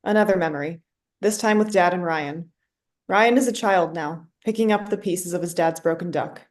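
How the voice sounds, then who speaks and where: A woman's voice: fairly low pitch, moderate pace. A woman reading scripted stage directions as narrator for a play reading.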